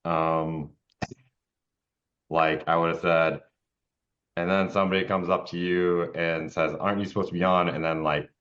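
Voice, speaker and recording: A man chatting casually as a podcast co-host, giving game feedback in a halting, rambling, self-correcting way. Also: deep voice, level tone, a bit bright, smooth, dull audio. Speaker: podcast co-host and tabletop player.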